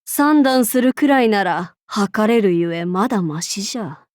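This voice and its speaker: A woman's voice: mid-range voice, animated, measured pace, darkish tone, no room sound, studio quality, close to an everyday voice. A woman voicing an imperious, haughty demon-king warlord in a mobile game, speaking in pompous, archaic lordly Japanese phrasing. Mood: composed, lordly.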